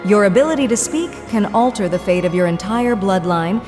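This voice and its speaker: A woman giving a scripted, grand and measured narration as an intro. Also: mid-range voice, expressive. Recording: long reverb with faint music.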